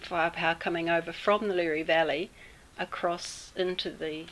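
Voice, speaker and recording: Interviewee: a fairly low-voiced New Zealand woman, relaxed storytelling with fillers, faint noise behind. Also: low-quality recording. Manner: unhurried, with many fillers and pauses. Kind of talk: casual storytelling.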